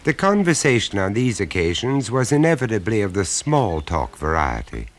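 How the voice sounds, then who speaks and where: An actor narrating a radio play as a wry, measured male storyteller of a suburban tale. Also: fairly low pitch, sing-song. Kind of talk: scripted radio drama narration. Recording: 1970s radio play recording.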